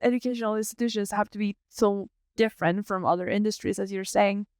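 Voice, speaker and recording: A woman's voice: medium pitch, steady tone, quick pace, a little mellow, smooth, no room sound, clean studio sound. A woman hosting a podcast, giving prepared introductions and interview questions. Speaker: podcast host.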